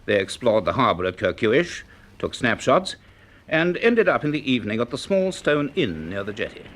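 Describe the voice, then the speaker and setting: A man's voice: medium pitch, big pitch swings, normal speed, fairly bright tone. A man playing an earnest, educated scientist and narrator in a radio drama. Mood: measured, reflective.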